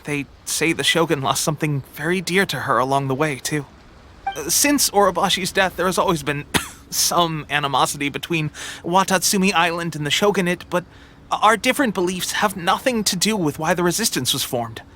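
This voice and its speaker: An actor playing an eager young resistance soldier in an anime game, friendly and putting on a brave face while unwell with a cold. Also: male voice, a little high, normal speed, bright, forceful tone, slight background noise. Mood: earnest, explaining. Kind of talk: English anime game voice acting. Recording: music behind.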